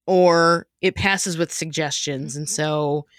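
A woman's voice: low voice, steady tone, slow pace, dry recording. A woman hosting a podcast in a casual, jokey chatting style with theatrical emphasis. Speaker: podcast host. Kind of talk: casual chat, explaining a comedy segment.

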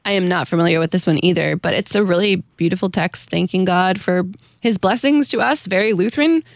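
A woman's voice: on the low side, brisk, creaky, no room sound, clean studio sound. An American woman hosting a casual chat, informal and giggly, who also reads verses aloud. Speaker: host presenting a hymn-writer's story.

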